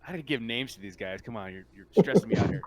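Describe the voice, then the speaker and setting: A man acting as game master in a tabletop roleplaying game, improvising in a casual, halting way. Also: mid-range voice, expressive, crowd noise.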